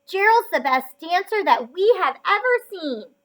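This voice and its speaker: A woman voicing excitable storybook jungle animals, exclaiming loudly in an exaggerated manner. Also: very high voice, a little slow, voice pushed up, full character voice, rough recording. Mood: amazed, excited.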